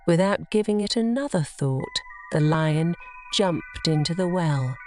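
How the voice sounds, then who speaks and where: A woman's voice: on the low side, expressive, unhurried, warm tone. A woman narrating scripted bedtime stories for children as a storyteller. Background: music.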